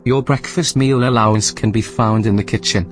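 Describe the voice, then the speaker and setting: A man playing a calm, precise artificial intelligence that runs a research station in an audio drama, speaking in a measured, formal, deadpan way. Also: on the low side, faint music behind, natural voice. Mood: calm, dismissive.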